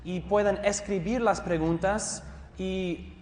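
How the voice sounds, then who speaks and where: A man from Southern California with an American English accent, a pastor teaching a theology class in his second language, friendly and self-deprecating, pausing and restarting often. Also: sits high, steady tone, a little mellow. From Southern California, USA. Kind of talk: classroom lecture. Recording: echoey hall, long reverb.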